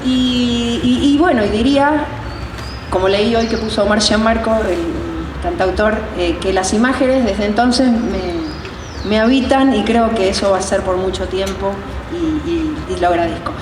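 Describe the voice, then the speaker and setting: A woman with a Rioplatense Argentine accent hosting a live public interview, speaking haltingly as she searches for words. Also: medium pitch. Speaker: interviewer and director of a community theatre group. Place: outdoors on a city sidewalk. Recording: noisy outdoor recording, echoey, far from mic.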